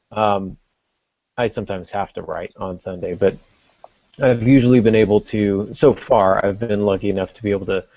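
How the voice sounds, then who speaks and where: A middle-aged man hosting a podcast, talking casually in a halting, reflective way and correcting himself as he goes. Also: fairly low pitch, a little mellow, no echo, lo-fi sound. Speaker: podcast host.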